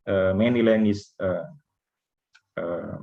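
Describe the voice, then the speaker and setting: Indonesian-accented male seminar speaker, lowish voice, halting delivery with many "uh"s, dull lo-fi audio. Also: vocal fry. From Manado, North Sulawesi, Indonesia. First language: Manado Malay. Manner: hesitant, many filled pauses and restarts. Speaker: seminar speaker, linguistics researcher. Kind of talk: academic seminar talk.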